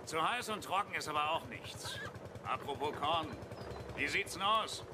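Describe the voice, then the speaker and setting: A man plays a nosy, coarse country farmer in a German radio play. His voice sits a little high, and he rambles on in a folksy, blunt way. A vehicle rumbles noisily behind him. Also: animated, normal speed, natural voice. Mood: jovial, chatty. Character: chatty, coarse, nosy provincial farmer driving a tractor. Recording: clear vehicle noise behind.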